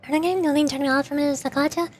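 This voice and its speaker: A woman's voice: high voice, steady tone, racing, faint music behind. A woman livestreamer chatting casually and playfully about games in a rambling, informal way. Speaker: VTuber streamer.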